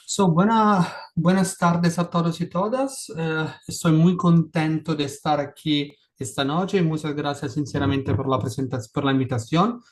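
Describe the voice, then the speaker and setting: A man's voice: a little high, steady tone, normal speed, hardly any pauses. Non-native Spanish-speaking man presenting. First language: not Spanish. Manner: careful, with frequent restarts and fillers. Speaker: panelist. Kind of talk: conference presentation.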